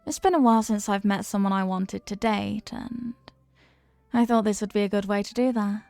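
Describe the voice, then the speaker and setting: A woman's voice: mid-range voice, moderate pace, vocal fry, a little mellow, dry recording, faint music behind, close to an everyday voice. A woman playing a flirty, playful stranger at a New Year's party in an intimate audio roleplay, with a coy, teasing, murmuring delivery. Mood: flirty, hopeful.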